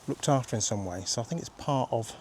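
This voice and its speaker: Husky-voiced man in his fifties with a cold. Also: mid-range voice, animated, quick pace, a little mellow, slight background noise. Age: 50s. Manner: chatty, rambling, laughs easily. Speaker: vlogger. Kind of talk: casual walking vlog. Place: outdoors on a rainy countryside walk.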